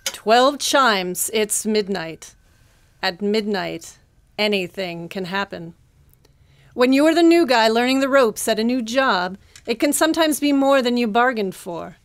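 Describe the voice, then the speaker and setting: High-voiced woman narrating radio-style. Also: lively intonation, measured pace, no room sound. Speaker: narrator, announcer.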